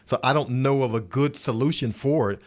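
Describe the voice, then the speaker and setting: A man's voice: medium pitch, a little fast. A man talking sports casually as a podcast panelist, emphatic and repeating words for stress. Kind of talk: casual sports roundtable discussion.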